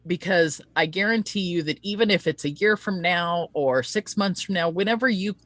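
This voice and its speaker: A woman's voice: fairly low pitch, moderate pace, a bit bright, dry recording. A woman hosting a casual promotional live-stream interview, speaking in an earnest, chatty and rambling way. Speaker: host of an online interview show.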